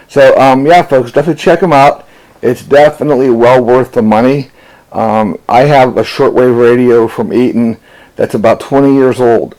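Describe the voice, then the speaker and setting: A man's voice: mid-range voice, warm tone, rough recording. Folksy man demoing gear, constant "okay?". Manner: folksy, frequent "okay?" check-ins and fillers. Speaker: ham radio operator reviewing gear. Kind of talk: casual product demo to camera.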